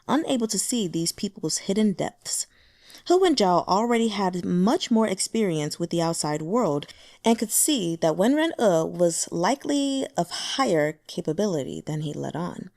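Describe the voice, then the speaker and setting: A woman narrating a translated fantasy novel with expressive storytelling. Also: no room sound, studio quality. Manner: expressive storytelling, pitch moving with the scene. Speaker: audiobook narrator.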